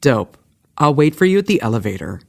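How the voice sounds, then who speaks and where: A woman's voice: rumbling low, very animated, a little fast, vocal fry, noisy background, exaggerated voice. A woman playing an earnest, exasperated young adult son in a comedic audio drama.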